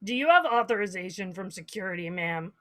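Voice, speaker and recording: Woman acting bright, brash starship guard. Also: mid-range voice, expressive, a little fast, close to an everyday voice. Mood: officious. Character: cocky, opportunistic female starship guard. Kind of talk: audio drama acting.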